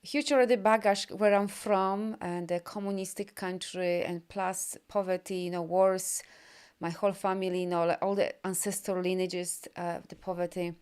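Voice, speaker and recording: Upbeat Polish-born woman, smooth voice. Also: on the low side, fairly even intonation, normal speed, darkish tone. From Poland. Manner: loose rambling with many fillers and restarts; upbeat and encouraging. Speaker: energy healer and coach. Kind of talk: live video session reading viewer comments.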